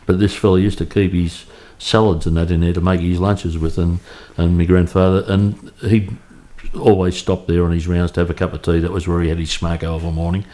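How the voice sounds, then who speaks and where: An Australian man in his fifties reminisces about his childhood, his low voice staying flat and even. His tone is dark and mellow. He speaks haltingly, circling back and restarting his sentences. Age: 50s. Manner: halting, anecdotal, many restarts. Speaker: oral history interviewee. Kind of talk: reminiscing in an interview. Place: home in Cremorne, Sydney.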